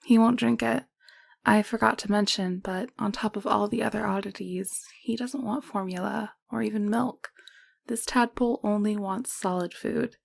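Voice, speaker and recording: A woman acting as a tender, protective motherly nurse in an audio roleplay, gentle and soothing, often dropping into whispers. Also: medium pitch, fairly even intonation, moderate pace, gravelly, dry recording. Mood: fond, playful. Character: tender, protective motherly nurse, cooing over a foundling child.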